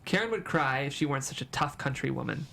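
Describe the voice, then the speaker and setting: A screenplay narrator at a table read, with a medium-pitched voice. The stage directions are delivered as dramatic storytelling, scene by scene. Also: animated, fast, gravelly, bright, forceful tone.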